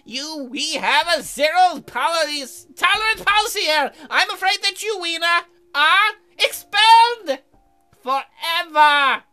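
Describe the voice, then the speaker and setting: A woman's voice: very high-pitched, pushed and bright, faint music behind, pitched above the performer's own voice, full character voice. A woman voicing a stern, bombastic male shark school principal in a visual novel, with a strained, emphatic delivery. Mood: outraged. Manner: blustering, drawn-out, emphatic.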